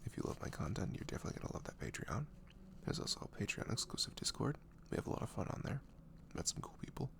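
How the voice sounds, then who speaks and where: A man speaking softly in a casual, hesitant, rambling ASMR style, apologetic in manner. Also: on the low side, sing-song, fast, vocal fry, few pauses. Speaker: audio roleplay creator. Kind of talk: soft-spoken ASMR, casual rambling intro.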